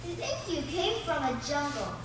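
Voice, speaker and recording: A woman's voice: sits high, sing-song, moderate pace. A woman playing an earnest, chatty second-grade girl in a live radio play, wide-eyed and dramatic. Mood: adoring. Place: theater stage. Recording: roomy live stage recording, distant, noisy.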